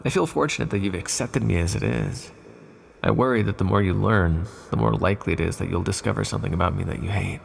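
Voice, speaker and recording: A man voicing an ancient, imprisoned villain in an ASMR roleplay, condescending yet lonely and flirtatious, with smug, articulate, softly teasing delivery. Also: quick pace, slight background noise. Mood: wistful, self-conscious. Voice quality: deep, gravelly, husky. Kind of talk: ASMR roleplay monologue to the listener.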